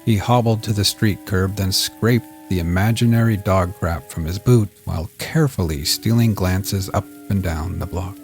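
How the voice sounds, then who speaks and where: A man reading scripted crime fiction in a measured, audiobook-style storytelling delivery. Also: sits low, darkish tone. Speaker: narrator of a serialized crime story. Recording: music bed under the voice. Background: music.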